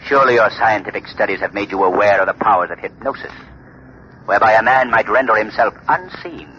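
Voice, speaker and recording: An actor playing a menacing invisible crime-fighting avenger in an ominous, declamatory 1930s radio drama style. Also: male voice, lowish voice, fast talker, bright, forceful tone, slight background noise. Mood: grave menace. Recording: muffled 1930s radio, music behind.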